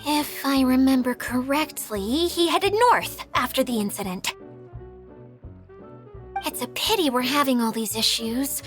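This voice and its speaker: A woman's voice: high-pitched, measured pace, a bit bright, soft background music. A woman voicing a sensitive, flustered mascot spirit in a game, formal but hesitant and correcting herself. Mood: flustered, wistful. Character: flustered, sensitive female mascot spirit trying to sound dignified. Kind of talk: animated game voice acting.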